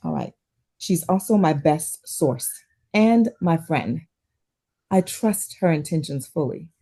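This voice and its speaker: A woman playing a concerned, guarded psychiatrist with a measured professional manner at a drama table read. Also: sits low, animated, mellow, full character voice. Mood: guarded, defensive. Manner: measured, professional, hesitant at times.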